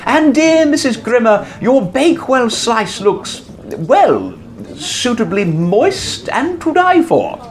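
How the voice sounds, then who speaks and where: An actor playing a fussy, theatrical English village vicar in a comic radio drama, flattering and dithering as he goes. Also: extremely high pitch, exaggerated voice. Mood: unctuous, jovial. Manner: effusive, dithering, drawn-out delivery. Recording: very echoey, reverberant room.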